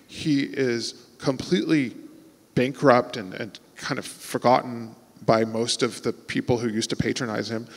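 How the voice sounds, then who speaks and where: A man from Texas with an American accent, speaking as an author on a festival panel in a thoughtful, rambling way full of restarts. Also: a little high. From Texas, United States. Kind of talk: conversational panel talk. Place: large festival venue before an audience. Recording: long hall reverb.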